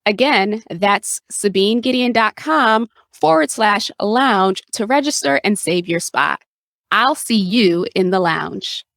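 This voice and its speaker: A woman's voice: medium pitch, lively intonation, takes it slowly, fairly bright tone, no room sound, studio quality. A woman hosting a podcast, speaking in an effusive, motivational manner. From Haiti. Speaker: podcast host. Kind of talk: podcast intro and interview hosting.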